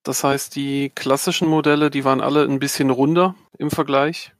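A man speaking as a podcast guest, explaining things in a calm, measured way. His voice stays very flat and level in pitch, recorded close and dry. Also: no echo. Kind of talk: casual chat.

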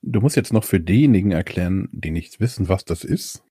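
A man hosting a podcast, his voice low-set, mellow and a touch husky. He keeps things relaxed and steers the chat with easy questions. The recording is close and dry. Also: fairly quick, dry recording. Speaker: podcast host. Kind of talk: casual chat.

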